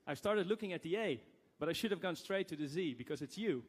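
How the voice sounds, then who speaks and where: A man's voice: fairly high pitch, expressive, fast talker. A man from the Netherlands in an event audience, earnestly reciting a rhyming poem in a sing-song delivery. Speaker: audience member. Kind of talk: reading a rhyming poem aloud. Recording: reverberant event hall.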